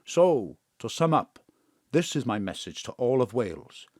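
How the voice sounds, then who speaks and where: A man's voice: mid-range voice, sing-song, measured pace, darkish tone, no room sound, studio quality. An actor playing a grave, portentous male First Minister making pompous pronouncements in an audio drama.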